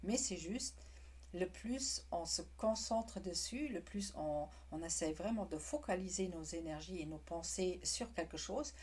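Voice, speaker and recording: A woman teaching a craft in French, with a non-native accent, speaking in a halting way with frequent restarts. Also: fairly low pitch, lively intonation, darkish tone, breathy edge. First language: not French. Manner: halting, restarts words often. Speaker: witchcraft teacher. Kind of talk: casual explanatory tutorial.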